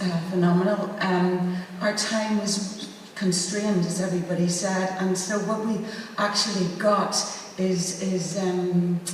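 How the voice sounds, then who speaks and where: A woman speaking as an actor on a panel, thoughtful and halting as she searches for words in an informal talk to an audience. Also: on the low side, darkish tone. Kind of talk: informal talk to an audience about a theatre project. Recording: echoey hall, distant mic, faint noise.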